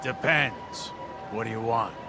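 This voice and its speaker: An actor playing a scrappy older homeless man in a video game, gravelly, folksy, chummy and slangy. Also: mid-range voice, a little slow, lightly acted. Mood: wary, bargaining. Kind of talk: video game cutscene dialogue. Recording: noisy city street with traffic. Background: urban traffic noise.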